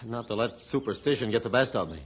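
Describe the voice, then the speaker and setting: A man playing a plain-spoken fellow with a husky voice in an old radio adventure. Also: mid-range voice, expressive, fast talker. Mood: reflective. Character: plain-spoken man humbled out of his superstition.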